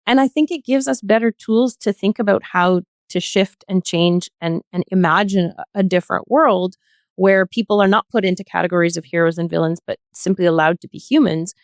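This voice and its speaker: A woman speaking as a podcast guest, reflecting in an earnest, measured way in a panel discussion. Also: fairly low pitch, steady tone, no room sound, clean studio sound.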